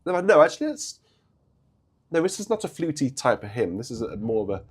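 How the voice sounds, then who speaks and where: A hoarse man, chatting haltingly on a live stream about church organs. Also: medium pitch, sing-song. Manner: halting, chatty, many restarts and fillers. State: hoarse, coming down with a cold. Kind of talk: casual live-stream chat.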